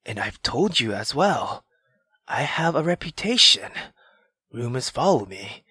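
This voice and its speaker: A woman voicing an irritated, weary aristocratic husband in a low, restrained, exasperated way for a visual novel. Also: sing-song, unhurried, bright, forceful tone, slightly rough, dry recording, heavily acted. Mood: defensive, strained.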